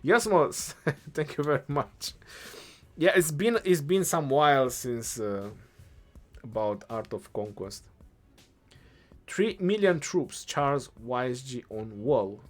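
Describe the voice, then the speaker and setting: A young man with an Eastern European accent, answering chat questions on a game live stream in a relaxed way, thinking aloud as he goes. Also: medium pitch, takes it slowly, soft background music.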